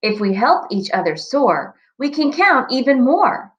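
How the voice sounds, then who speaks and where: An earnest female storybook number, voiced by a woman reading aloud, wistful yet hopeful. The voice is fairly high and slow, with wide swings in pitch. The sound is a little lo-fi. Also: sing-song, fairly bright tone, full character voice.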